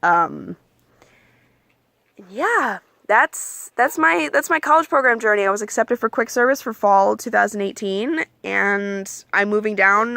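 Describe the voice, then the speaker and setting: A young adult woman talks straight to her viewers, sharing tips in a loose, candid way with lots of ums and likes. Her voice is bright with a gravelly edge of vocal fry. The sound is dry and close, with no room around it. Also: a little high, no echo. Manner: calls her own voice monotone. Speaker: vlogger giving application advice. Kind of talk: casual advice vlog.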